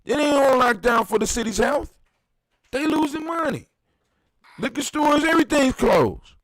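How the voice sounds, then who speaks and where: A man in his fifties hosting a casual talk show, ranting in an animated, profane and emphatic way. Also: very high-pitched, normal speed, no echo. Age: 50s. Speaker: podcast host. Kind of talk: casual chat.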